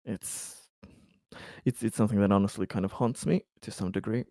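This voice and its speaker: A man playing a weary, haunted colony officer in a tabletop roleplay, speaking haltingly and earnestly as he consoles a colleague. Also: on the low side, warm tone, no room sound, studio quality, natural voice. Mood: grave, grieving. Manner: halting, grave, earnest, sighing. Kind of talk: improvised tabletop roleplay dialogue.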